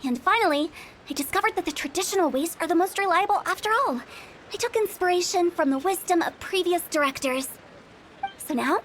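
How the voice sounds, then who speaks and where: Cheeky young funeral director girl, very high voice, playful and a bit spooky, faint hiss behind. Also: a bit bright. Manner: playful, teasing, with sudden spooky asides. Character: mischievous young female funeral parlor director. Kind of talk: video game voice acting.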